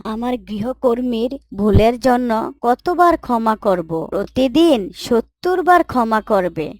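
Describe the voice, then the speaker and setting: A woman voicing a kind, pious mother in a moral cartoon, gently admonishing and instructive. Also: on the high side, no echo. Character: kind, pious mother gently correcting her young son. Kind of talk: Islamic moral cartoon voice acting.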